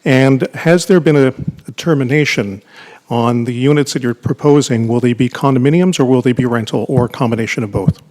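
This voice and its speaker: A man with a Canadian accent, a town councillor asking a question at a council meeting, formal and polite with halting restarts. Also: medium pitch, a little mellow. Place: council chamber.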